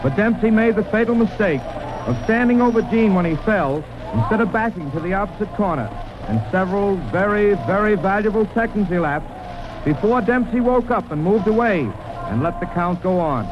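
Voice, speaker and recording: A man's voice: sits high, animated, normal speed. A man commenting on boxing in the style of 1920s radio sports coverage. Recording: noisy, muffled old radio recording.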